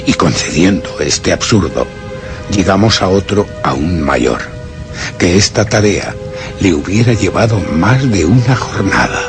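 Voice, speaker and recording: An actor with a Castilian Spanish accent playing a tormented man who reasons obsessively in a brooding, formal monologue for suspense radio theatre. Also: low-pitched. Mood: puzzled, reasoning. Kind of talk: dramatic first-person suspense radio theatre narration.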